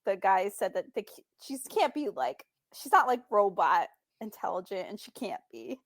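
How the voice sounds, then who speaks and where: A woman's voice: mid-range voice, lively intonation, moderate pace, dry recording, clean studio sound. A woman appearing as a podcast guest, chatting casually about a movie, giggling often and leaning on filler words.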